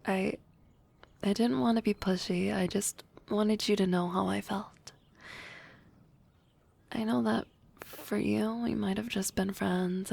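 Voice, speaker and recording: Breathy, slow-talking harpy girl in love, acted by a woman. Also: medium pitch, full character voice. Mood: wistful, vulnerable. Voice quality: soft, breathy, often whispering. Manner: hesitant, halting, teasing. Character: shy but bold young harpy classmate in love. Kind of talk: ASMR romantic roleplay.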